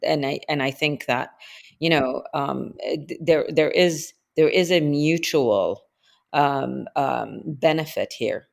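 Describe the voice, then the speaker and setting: A woman's voice: deep voice, slow pace, vocal fry. A woman guest analyst in a podcast interview, explaining at length in a thoughtful way.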